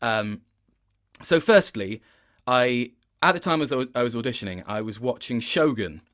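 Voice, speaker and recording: A man giving a casual interview as a voice actor, thoughtful and halting, often interrupting himself. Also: mid-range voice, fairly bright tone.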